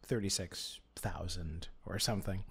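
A man's voice: low-pitched, steady tone, moderate pace, creaky, slight background noise. A man playing a tabletop roleplaying game, narrating his character's actions and lines in a droll, wry way.

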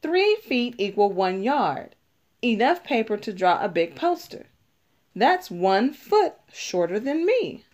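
A woman reading a children's picture book aloud, deliberately, stressing key words as she teaches. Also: on the high side, very animated, a little mellow. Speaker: read-aloud narrator.

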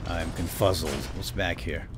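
A man's voice: deep voice, fairly even intonation, a little slow, background noise. A man giving playful, lightly role-played let's play commentary, chatting to his in-game companion.

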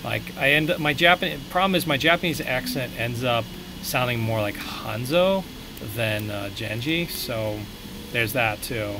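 A man's voice: mid-range voice, expressive, a little slow, background noise. A young man thinking aloud with many fillers while he works on a live stream from a small room at home. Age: young adult. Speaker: streamer modeling a prop. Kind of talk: casual live-stream commentary while working.

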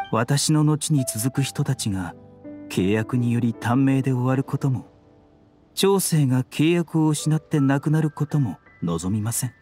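Composed, lively-toned, idealistic young physician. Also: male voice, medium pitch. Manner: polite, measured, philosophical speech. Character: serene, idealistic young male physician, quietly resolute. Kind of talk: scripted game dialogue.

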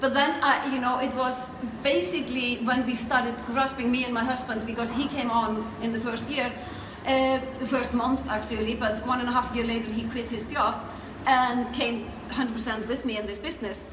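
Higher-pitched Icelandic woman in an echoey room. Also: steady tone, normal speed. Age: middle-aged. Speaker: network marketer being interviewed. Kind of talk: conversational interview, telling own story. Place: small indoor room. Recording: echoey room, clear background noise.

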